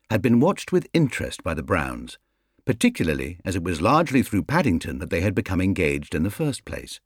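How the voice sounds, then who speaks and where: A man's voice: low-pitched, dry recording, studio quality. A man with a British accent reading a children's story as an audiobook narrator.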